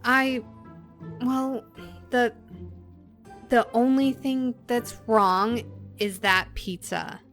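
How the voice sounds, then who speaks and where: A woman's voice: fairly high pitch, fairly even intonation, extremely slow, pauses often, a bit bright, soft background music, heavily acted. A woman playing a guarded, weary young woman in a naturalistic audio drama. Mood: uneasy, reassuring.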